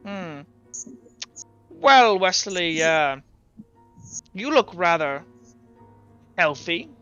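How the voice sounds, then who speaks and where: A man's voice: high-pitched, expressive, very slow, sharp tone, clean tone, lo-fi sound, soft background music, exaggerated voice. A man voicing a patronizing older doctor in a tabletop game, dismissive and falsely soothing. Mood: coolly clinical. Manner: pompous, patronizing, addresses the young as dear boy.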